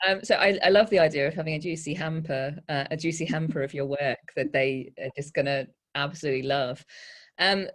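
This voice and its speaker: A woman poet giving informal panel advice in a candid, self-deprecating, halting way. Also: low-pitched.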